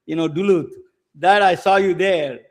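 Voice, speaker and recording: Male host, high pitch, halting questions. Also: a little mellow. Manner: halting, repeats and restarts words. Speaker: webcast host. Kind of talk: casual interview conversation.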